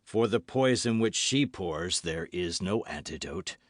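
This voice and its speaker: A man's voice: deep voice, animated, unhurried, no echo, clean studio sound, voice pushed down. A man doing a grave, broken-voiced nobleman. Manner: broken, murmured. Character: grave older man, brooding and sorrowful.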